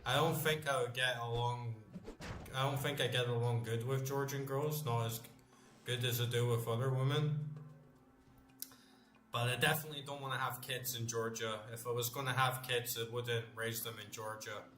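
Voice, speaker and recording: A man's voice: medium pitch, fairly even intonation, normal speed, a bit bright. Rambling man, roomy room, music behind. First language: English. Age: late 30s. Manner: rambling, loose, frequent "like" fillers and swearing. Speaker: gamer playing on stream. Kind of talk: casual chat while gaming.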